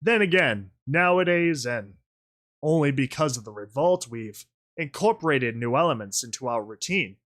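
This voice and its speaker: A man's voice: medium pitch, lively intonation, normal speed, fairly bright tone, lightly acted. A man voicing a burly male pony officer in a story, reporting formally and deferentially to a superior. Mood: formal, defensive.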